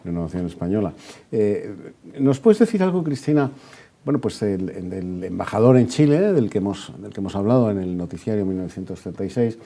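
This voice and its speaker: A man from hosts a history conversation in a deep voice. The timbre is very dark and soft, with no edge at all. He speaks as an interviewer, often agreeing and echoing phrases. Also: animated, brisk. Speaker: interviewer, program host.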